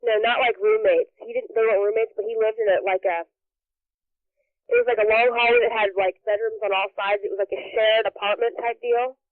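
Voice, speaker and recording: Halting woman on a tinny phone line. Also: a little high, fairly even intonation, no room sound. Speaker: prank call recipient. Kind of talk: hesitant phone conversation. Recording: narrow, tinny telephone line.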